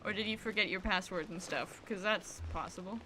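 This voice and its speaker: A woman's voice: medium pitch, a little fast, sharp tone, slight background noise. A woman chatting casually on a live stream while she plays a game, musing and often trailing off mid-thought. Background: game music and sound effects.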